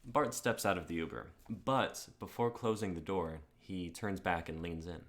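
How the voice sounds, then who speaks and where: Very deep-voiced young man narrating. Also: moderate pace, a bit bright. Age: young adult. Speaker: narrator reading stage directions. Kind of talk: scripted narration in a dramatic reading.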